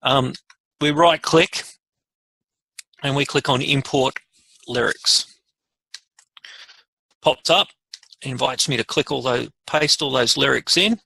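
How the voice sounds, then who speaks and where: A man presenting a casual software tutorial, informally thinking aloud as he demonstrates each step. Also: mid-range voice, slow, creaky, long pauses, no echo, rough recording. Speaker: webinar presenter.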